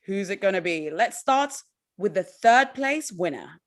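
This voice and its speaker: A woman's voice: mid-range voice, lively intonation, measured pace. A woman hosting an online award ceremony as MC, upbeat and encouraging, speaking over a video call. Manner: upbeat, encouraging, addresses audience as guys. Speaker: host and MC.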